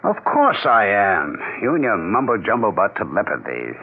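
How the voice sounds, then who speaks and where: Skeptical, irritable husband, low husky voice, scoffing, 1970s radio drama, muffled vintage sound. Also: male voice, huge pitch swings, moderate pace, full character voice. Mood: irritated, skeptical. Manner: dismissive, blunt, swinging from smooth politeness to scoffing. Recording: muffled, narrow-band 1970s radio recording.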